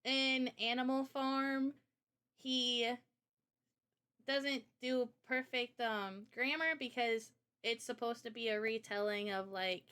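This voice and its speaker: A woman streamer hosting a casual live chat, talking in an animated, opinionated way with rambling asides. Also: on the high side, takes it slowly, smooth. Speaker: streamer and host.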